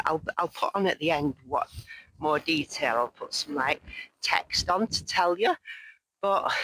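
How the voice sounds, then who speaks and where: A woman vlogging as she hikes down a mountain, chatting in a rambling, jokey, self-mocking way, her voice hoarse and croaky. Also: on the low side, sharp tone, dry recording, poor audio. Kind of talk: casual chat to camera while hiking. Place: outdoors on a mountain path.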